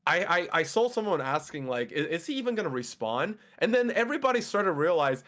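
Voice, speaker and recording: A man's voice: fairly high pitch, quick pace. A young man whose first language is Mandarin, a podcast guest chatting casually and rambling with lots of fillers. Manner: rambling, excitable, frequent fillers and laughs. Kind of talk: casual chat.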